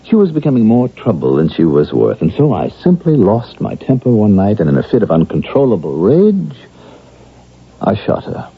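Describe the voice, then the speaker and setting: Casting: emotional, evasive accused killer in psychiatric care, vintage radio drama; husky, widely swinging pitch. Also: male voice, wildly swooping pitch, moderate pace, heavily acted. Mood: confessing. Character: distraught accused killer, weary defiant psychiatric patient. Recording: muffled phone-like old radio recording.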